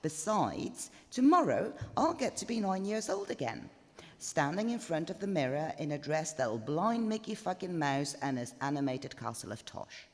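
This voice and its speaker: A woman's voice: low voice, very animated, normal speed. A woman voicing a frank, smitten young bride-to-be confiding in her diary, in a live story reading. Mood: confiding, wry. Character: young bride-to-be, frank, sweary, defiant yet smitten diarist. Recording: live in echoey stone chapel, long reverb.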